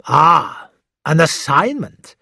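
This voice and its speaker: A man voicing a fat, older detective who interrogates in a curt, drawn-out, impatient way. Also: fairly high pitch, big pitch swings, gravelly, sharp tone, slightly rough, no echo, clean studio sound, voice pushed up, heavily acted.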